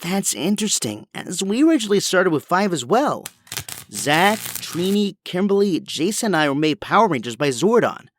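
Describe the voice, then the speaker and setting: A man's voice: high voice, big pitch swings, normal speed, slight background noise. A man playing an earnest, brainy young inventor hero in an audio drama, with precise, technical, polite speech. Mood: focused, friendly.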